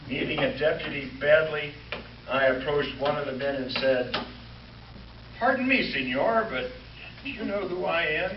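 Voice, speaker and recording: A man playing an earnest, beleaguered Western lawman in 1940s radio comedy style, deliberate and dramatic with exasperated asides. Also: fairly high pitch, muffled recording. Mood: puzzled, exasperated. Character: beleaguered, earnest Western lawman narrating his own predicament. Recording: roomy, distant, noisy live stage recording.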